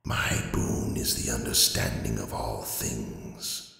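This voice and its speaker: A man voicing an ancient, all-knowing river spirit in a storybook reading, with a dusty, wheezy whisper, slow grandiose ominous delivery and echoing reverb. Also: a bass voice, creaky, pushed and bright, pitched below the performer's own voice, exaggerated voice. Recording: cavernous echo, long reverb.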